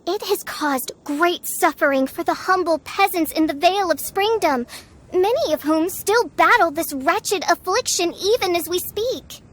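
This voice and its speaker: A woman's voice: extremely high pitch, lively intonation, measured pace. A woman voicing a grandiose teenage girl who poses as a gothic princess in a video game, speaking pompously. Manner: pompous, theatrical, archaic wording. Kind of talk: video game character acting. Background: background music.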